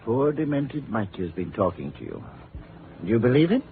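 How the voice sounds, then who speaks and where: A man's voice: mid-range voice, big pitch swings, normal speed. A bitter, boozy musician in a vintage radio play. He is florid and sardonic, tongue a little thick, intonation swooping. The sound is narrow and boxy with faint hiss. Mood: bitter, sly. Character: tipsy, bitter, washed-up concert musician in his forties. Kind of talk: 1950s radio drama acting. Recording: narrow, hissy 1950s radio recording.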